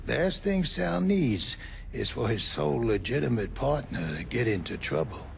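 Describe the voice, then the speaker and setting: An actor playing a world-weary mobster in a video game, blunt, grumbling and profane. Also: male voice, mid-range voice, sing-song, normal speed, gravelly, background noise. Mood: matter-of-fact. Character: world-weary male mobster turning on his boss. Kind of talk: dramatic video game dialogue.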